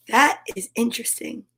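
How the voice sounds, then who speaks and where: Female storyteller for kids' read-aloud: high voice, slow pacing, playful and dramatic, casual asides. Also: creaky, fairly bright tone. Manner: sing-song repetition, dramatic exclamations, playful sound words. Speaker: host reading picture books aloud.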